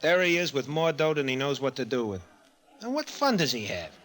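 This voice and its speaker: A man's voice: medium pitch, sing-song, a little fast, fairly bright tone. An actor playing a brash young down-on-his-luck racetrack tout, cheeky and casual, in old-time radio drama style. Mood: curious, scheming. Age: young adult. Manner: cheeky, casual, persistent hustler's patter. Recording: vintage radio broadcast recording.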